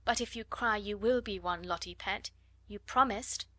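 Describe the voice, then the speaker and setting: A woman voicing a kind, composed young girl who speaks steadily and calmly. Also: medium pitch, normal speed, no room sound, lightly acted. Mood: soothing. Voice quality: steady, calm.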